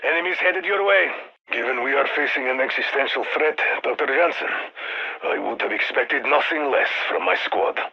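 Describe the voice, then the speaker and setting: Game military handler: Russian accent, stern and dry, commanding, rough husky rasp, muffled radio filter. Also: male voice, medium pitch, expressive, moderate pace. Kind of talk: scripted game voice lines, mission briefing.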